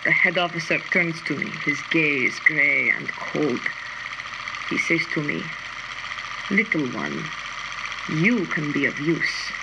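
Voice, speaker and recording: Bitter, haunted scientist, heavy noise. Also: female voice, low voice, big pitch swings, creaky, exaggerated voice. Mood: brooding, haunted. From Belarus. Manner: brooding, deliberate. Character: bitter, tormented woman scientist, haunted former prison camp collaborator. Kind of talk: dramatic confessional monologue. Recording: very noisy, radio-like audio diary.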